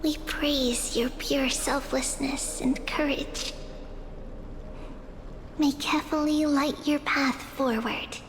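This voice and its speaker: A woman's voice: high voice, fairly even intonation, slow, a little hiss or hum. A woman voicing a solemn, kindly girlish priestess in a fantasy game, speaking formally and ceremonially. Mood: solemn, encouraging. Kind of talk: video game voice acting. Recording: long reverb, music behind.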